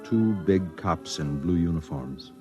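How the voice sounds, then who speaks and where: A low-pitched American man as a brooding, lonely reporter in his thirties, confessing his story in a vintage radio drama. His delivery is measured and sensitive, with music playing clearly behind him. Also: expressive, lo-fi sound. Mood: intense, haunted. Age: 30s. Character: lonely, sensitive thirty-one-year-old newspaper reporter and secret poet. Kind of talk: 1950s radio drama narration.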